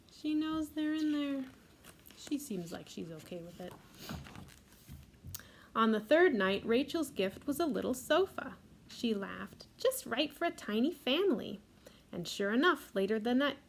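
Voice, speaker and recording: A woman with a fairly high voice reads a children's picture book aloud as a storytime reader. She slips in gentle asides about the pictures. There is faint noise behind her.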